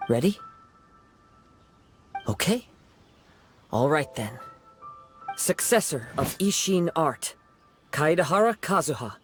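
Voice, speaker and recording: A man voicing a calm, polite young wandering swordsman in a video game, speaking gently and poetically. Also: on the high side, slow pace, long pauses, soft background music.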